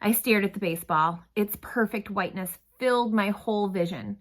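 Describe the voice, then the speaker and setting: A woman narrating a storybook read-aloud with expressive, dramatic phrasing. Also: mid-range voice, moderate pace.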